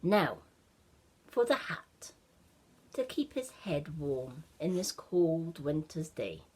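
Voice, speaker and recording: A woman plays an eager little boy from a storybook, puzzling things out aloud. The delivery is slow and very lively in pitch, full of exclamations, with a breathy edge. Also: full character voice. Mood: busy. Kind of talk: children's storybook character voice.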